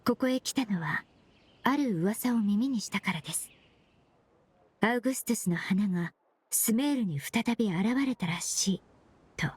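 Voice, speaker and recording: A woman playing a courteous, earnest young perfumer in an anime game, speaking formally and explaining in a measured way. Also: medium pitch, expressive, a little hiss or hum, lightly acted. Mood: serious. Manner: polite formal speech, measured explanatory delivery. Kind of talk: Japanese anime game voice acting.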